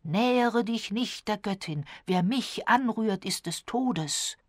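A woman's voice: mid-range voice, lively intonation, slow, no room sound, studio quality, voice pushed up. A woman voicing a wild, menacing young woman posing as a priestess in a storybook, dramatic and exclaiming. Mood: menacing, commanding.

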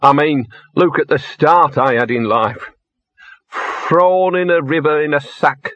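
A man voicing a cynical, maudlin little talking dog, hoarse and drunkenly slurred, in a comic fantasy audiobook. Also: fairly high pitch, takes it slowly, a bit bright, no echo, pitched above the performer's own voice. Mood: maudlin self-pity. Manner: slurred, rambling, grumbling.

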